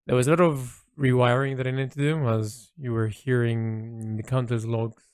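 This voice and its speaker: A man recording an unscripted, reflective personal audio log, halting and rambling as he thinks aloud. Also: medium pitch, steady tone, a little mellow, clean tone, no echo.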